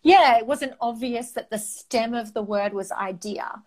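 Fiery, loud Australian woman in her forties. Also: a little high, measured pace. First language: Greek. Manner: loud, fiery and passionate, talks with her hands; animated, with frequent "um" fillers. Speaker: guest, campaign marketer. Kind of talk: casual interview chat.

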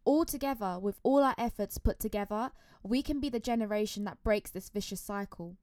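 A woman's voice: mid-range voice, a little fast, darkish tone, no echo, studio quality. A woman hosting a podcast, reading a scripted introduction in an earnest way full of rhetorical questions. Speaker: podcast host.

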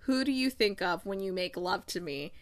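A woman voicing a hurt, insecure young wife from a romance novel, reading aloud in a hushed, hesitant way. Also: medium pitch, animated, moderate pace, lightly acted. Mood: wounded, insecure. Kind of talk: dramatic storybook read-aloud.

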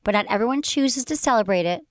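Brief: a bubbly woman host with a Michigan accent. She talks quickly with a lively pitch, teasing and playful, on a dry mic. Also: dry recording. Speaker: podcast host. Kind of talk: casual radio talk.

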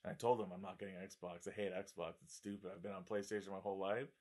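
A man's voice: low voice, flat delivery, fast, a little mellow. A man in his mid-twenties chatting casually, joking and laughing often while telling stories. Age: 20s. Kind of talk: casual chat.

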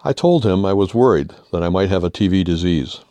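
Older American male essayist, low voice, humorous scripted read, dry close sound. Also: lively intonation, fairly quick, mellow, no room sound. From United States. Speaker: author reading own humorous essay. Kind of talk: scripted humorous first-person narration.

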